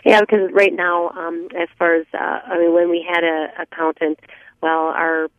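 A woman's voice: lowish voice, level tone, normal speed, dry recording. A woman in her fifties calling in to a radio show, talking casually with many restarts and small laughs. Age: 50s. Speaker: caller. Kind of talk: casual call-in chat. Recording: tinny phone line.

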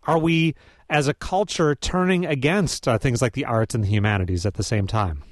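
Measured male public radio host. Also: medium pitch, no room sound, studio quality. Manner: measured, scripted introductions.

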